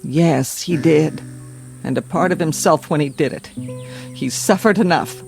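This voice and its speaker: A woman acting a grieving, weary mother in a radio drama, halting and sorrowful but resolute. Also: low-pitched, vocal fry, a little mellow, soft background music.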